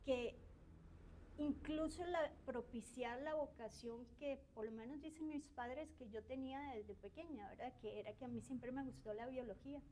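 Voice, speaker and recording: Middle-aged academic woman from the southern cone, shy interviewee, mellow higher voice. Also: normal speed, slight background noise, low-quality recording. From Argentina and Uruguay. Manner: shy, soft-spoken, tag questions and hesitations. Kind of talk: reflective interview.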